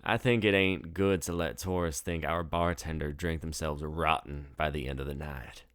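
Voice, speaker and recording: A man's voice: very deep voice, steady tone, normal speed, a bit bright, clean tone, no room sound. A man voicing a sardonic woman who is a regular at the bar, blunt and dry in manner, in a storybook.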